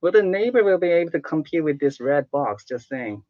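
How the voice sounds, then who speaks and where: A man's voice: on the high side, animated, brisk, dry recording, highs cut off. A man co-hosting a talk show with casual, blunt and wry commentary.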